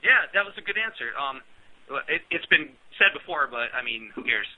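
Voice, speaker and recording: A man hosting a call-in radio show in a chatty, loose way, breaking into laughs between questions. His voice sits a touch high and swings around a lot, with a husky edge. The audio is narrow and phone-like. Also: very animated, moderate pace. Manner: chatty, laughs often, filler-heavy.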